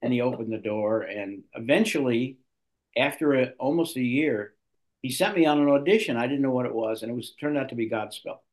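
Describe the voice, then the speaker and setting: An older man, a guest actor, telling long stories in an interview with lively restarts. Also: medium pitch, fairly quick, low-quality recording. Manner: animated storyteller, restarts a lot. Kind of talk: storytelling in an interview.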